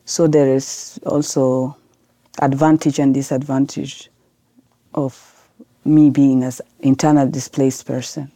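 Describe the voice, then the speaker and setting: A woman from South Sudan, a lawyer guest on a podcast, answering in earnest, halting with many restarts. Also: a bass voice, level tone, dark tone, no echo. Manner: earnest, halting non-native English with restarts.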